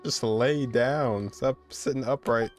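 A man's voice: mid-range voice, slow pace, faint music behind. A young man streaming casual game reactions in short, muttered exclamations. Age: young adult. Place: indoor room.

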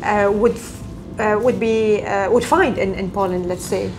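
A woman's voice: medium pitch, steady tone, unhurried, noisy background. A woman in her thirties, a radio interviewer asking questions with enthusiasm, recorded in an office by a camera at a distance. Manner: enthusiastic, repeats "amazing". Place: office, indoors.

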